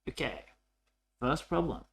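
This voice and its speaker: A man giving a casual coding tutorial, talking informally and haltingly as he thinks aloud while typing. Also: mid-range voice, sing-song, creaky, slight background noise. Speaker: programming tutorial host.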